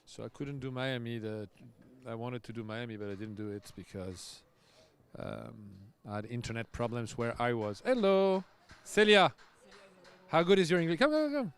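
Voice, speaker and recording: A man's voice: mid-range voice, moderate pace, clean tone. A man, a tennis coach hosting casual live commentary, chatty and loose with easy laughs. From France. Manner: chatty, loose, laughs often, restarts sentences.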